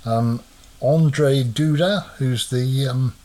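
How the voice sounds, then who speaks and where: Male host, casual and chatty, hesitant fillers, mid-pitched voice. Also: slow, dark tone. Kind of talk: casual chat.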